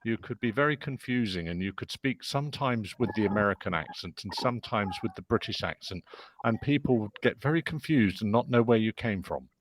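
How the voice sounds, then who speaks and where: A man tutoring English on an online call, explaining patiently and slowly. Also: fairly low pitch, animated, a little hiss or hum, poor audio. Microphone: video call.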